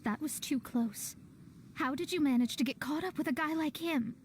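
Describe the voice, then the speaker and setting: A proud young game astrologer who counts herself a genius. The voice is high and girlish, delivered with theatrical self-assurance. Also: moderate pace, slight background noise. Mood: serious, proud. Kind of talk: video game voice acting.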